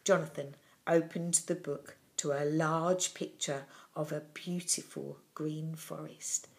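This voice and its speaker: An older woman reading a children's story expressively and dramatically, with deliberate pauses, in a small room at home. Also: deep voice, steady tone, vocal fry, a little mellow.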